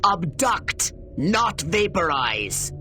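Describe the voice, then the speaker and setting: Pompous exasperated alien boss, swoopy scheming delivery, music behind, game cutscene. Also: male voice, sits high, big pitch swings, extremely slow, sharp tone, heavily acted. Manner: imperious, exasperated, precise. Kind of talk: video game cutscene acting.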